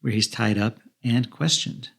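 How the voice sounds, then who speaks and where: Husky, creaky-voiced man. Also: medium pitch, measured pace. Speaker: podcast co-host. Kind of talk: film plot recap narration.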